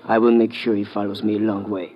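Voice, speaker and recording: Earnest low-voiced informant played by a man. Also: little pitch movement, a little hiss or hum, close to an everyday voice. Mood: urgent, conspiring. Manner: formal, urgent, deliberate. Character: earnest, worried male East European informant. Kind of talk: 1950s radio drama acting. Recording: dull old radio recording.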